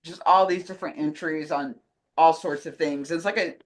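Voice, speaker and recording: A woman's voice: low voice, steady tone, normal speed. A woman in casual conversation, talking in an enthusiastic, rambling way as a co-host. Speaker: co-host answering fan questions. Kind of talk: casual chat.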